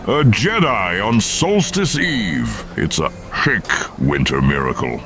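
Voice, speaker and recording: A huge, sentimental drunken alien, with a gruff, low voice that swings widely in pitch. The words come slurred and hiccuping in this space-fantasy audiobook. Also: male voice, very animated, takes it slowly, noisy background, exaggerated voice. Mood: boisterous, merry. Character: huge drunken four-armed alien reveler, jolly and sentimental.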